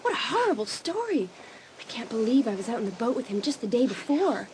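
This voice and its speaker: A lively, high-pitched teenage girl, played by a woman, competitive yet sympathetic. Her intonation swings noticeably as she plays the best friend in a dramatised audiobook. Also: fairly quick, a little hiss or hum, poor audio. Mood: sympathetic, shocked.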